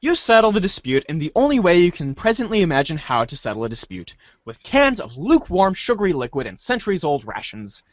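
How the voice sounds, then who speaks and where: A man's voice: high-pitched, big pitch swings, fairly quick, hardly any pauses, no echo, lo-fi sound. A man hosting and narrating a fan readers' theater of a webcomic. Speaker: host and narrator.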